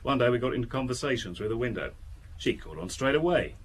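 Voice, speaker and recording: A man playing a brazen small-time crook in a radio mystery. He talks bluntly and offhandedly in a mid-pitched voice, full of cocky boasting. There is faint noise behind him. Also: big pitch swings, fast, lightly acted. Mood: boastful. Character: brazen, cocky male crook, a fence for thieves. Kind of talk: radio detective drama acting.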